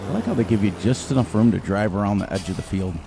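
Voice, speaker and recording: A middle-aged man with a loud voice, rambling and thinking aloud as he comments casually on his gameplay into a desk microphone. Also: fairly low pitch, fast, creaky. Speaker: gaming channel host. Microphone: desk microphone turned down low. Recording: game engine noise behind.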